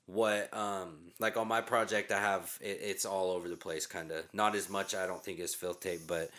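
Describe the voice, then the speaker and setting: Deep-voiced thirties male host, loose rambling delivery. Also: fairly even intonation, normal speed, a bit bright. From Oregon. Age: 30s. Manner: rambling, hesitant, profane. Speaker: podcast host. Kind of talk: casual podcast chat. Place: home recording studio.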